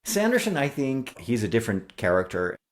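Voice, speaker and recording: A man's voice: mid-range voice, wildly swooping pitch, brisk. A man co-hosting an enthusiastic podcast discussion about books, explaining things in an effusive way. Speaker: co-host and writer.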